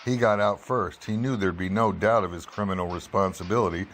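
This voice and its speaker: An actor playing a hard-boiled, world-weary investigative reporter in an old-style radio drama, clipped, wry and matter-of-fact. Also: male voice, low voice, steady tone, fairly quick, a little hiss or hum, lightly acted. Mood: assured. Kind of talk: old-time radio detective drama acting and narration.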